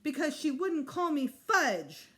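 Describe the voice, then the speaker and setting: Cheeky storybook kindergartner boy, played by a woman, high, loud, bluntly defiant. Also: very animated, takes it slowly, heavily acted. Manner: blunt, defiant short declarations.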